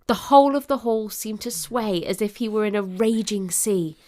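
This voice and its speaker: A woman narrator reading a scripted period drama in an expressive, literary storytelling manner. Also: mid-range voice, moderate pace.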